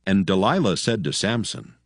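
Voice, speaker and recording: A man narrating a dramatized audio Bible in a measured, solemn storytelling style. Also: sits low, big pitch swings, creaky, no room sound, studio quality.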